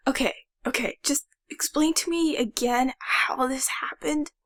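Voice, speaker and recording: A woman playing an excitable, nerdy young male sidekick in an audio drama, casual and dramatic with a paranoid streak. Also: high-pitched, a little slow. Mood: curious, teasing. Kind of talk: fan audio drama acting.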